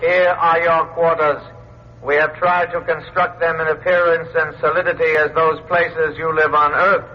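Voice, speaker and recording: An actor playing a courteous but imperious disembodied alien in a vintage radio drama, with formal, measured, pedantic diction. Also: male voice, on the high side, level tone, natural voice. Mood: formal, controlling. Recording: dull, noisy old radio recording.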